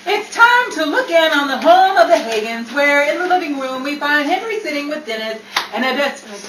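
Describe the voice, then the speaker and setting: A woman's voice: sits high, very animated, fairly quick. A woman announcing and narrating in 1950s radio style, theatrical and playful, with ad-libs. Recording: roomy, noisy distant live-stage recording with crowd.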